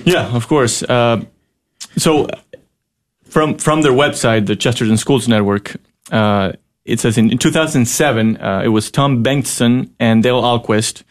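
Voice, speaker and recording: A man from Ecuador who speaks natively, a guest on a radio interview, talking haltingly with many fillers. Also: mid-range voice. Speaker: guest, school board president. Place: radio studio.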